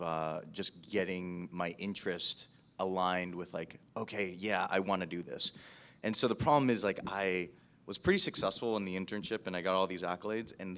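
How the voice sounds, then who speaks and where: A man's voice: low voice, fairly bright tone. An American man around his thirties, a podcast producer telling his career story in an informal, self-deprecating way at a panel.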